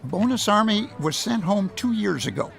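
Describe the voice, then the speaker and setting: Officious 1930s busybody man, high gravelly voice, scolding and indignant; radio drama with music behind. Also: lively intonation, moderate pace, a bit bright, exaggerated voice.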